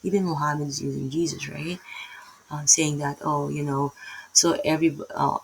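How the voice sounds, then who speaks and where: Casting: middle-aged Filipino-accented woman, low gravelly voice, chatty self-interrupting Bible teacher. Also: little pitch movement, moderate pace, a little hiss or hum, low-quality recording. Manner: rambling, self-interrupting, tags many lines with "right?". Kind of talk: casual unscripted Bible teaching.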